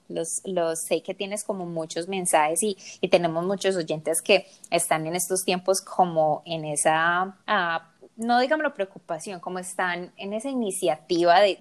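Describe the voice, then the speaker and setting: A woman host with a Latin American Spanish accent, chatting in an interview, encouraging and repeating words as she searches for them. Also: fairly low pitch. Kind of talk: casual interview chat.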